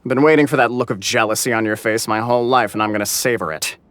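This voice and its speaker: A man's voice: medium pitch, fast, fairly bright tone, clean tone, no room sound, natural voice. A man voicing a smug, theatrical, sarcastic clansman in a video game, with a grandiose, mocking delivery. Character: smug, theatrical, sarcastic male clan member. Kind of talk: video game character acting.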